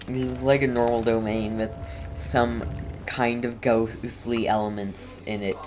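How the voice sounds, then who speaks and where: A woman's voice: a bass voice, flat delivery, measured pace, noisy background. A woman game streamer giving casual, rambling commentary as she talks herself through play.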